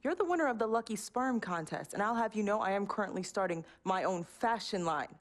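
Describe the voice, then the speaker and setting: A woman's voice: medium pitch, expressive, brisk, close to an everyday voice. An actress playing a sassy, self-assured young woman, the star's girlfriend, in a video game cutscene.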